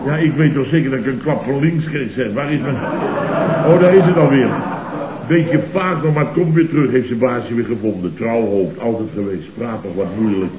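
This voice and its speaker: An actor playing a blustering, easily offended and muddled boss in a comic radio play, pompous and repetitive. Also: male voice, mid-range voice, normal speed, background noise, lightly acted. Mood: dazed, muddled. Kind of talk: comic radio play acting, around 1970. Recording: muffled, roomy vintage radio recording.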